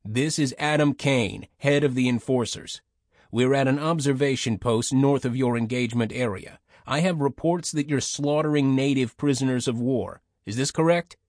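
A blunt military chief played by a male narrator with a short temper and an air of authority. His mid-pitched voice is dry and close, giving stern orders in an audiobook. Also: moderate pace, no echo, natural voice. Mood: stern, demanding. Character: commanding, hot-tempered male head of military enforcers.

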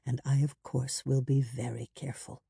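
A woman voicing a tender, steadfast man in love in an audiobook, quietly reassuring and earnest. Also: extremely low pitch, little pitch movement, normal speed, creaky, slightly rough, dry recording, clean studio sound, full character voice. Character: tender, steadfast young man, devoted lover.

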